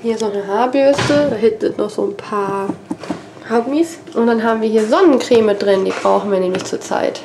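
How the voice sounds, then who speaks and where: Young woman, slightly high voice, has a cold, casual home tour, handheld camera, faint background noise. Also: moderate pace. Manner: chatty, walking and pointing things out. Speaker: family vlogger. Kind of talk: casual house tour vlog. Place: family home, indoors, moving room to room. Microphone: handheld wide-angle action camera at arm's length.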